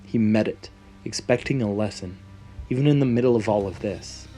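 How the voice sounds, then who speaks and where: Guy with a lowish voice reading a fantasy tale dramatically, soft music behind. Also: normal speed. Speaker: narrator. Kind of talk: scripted fantasy story narration. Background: background music.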